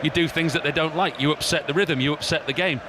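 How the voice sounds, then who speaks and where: A man's voice: fairly high pitch, fast talker, background noise. A man giving casual analytical co-commentary on a live football match, explaining tactics in a chatty way. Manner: conversational, explanatory, repeats phrases.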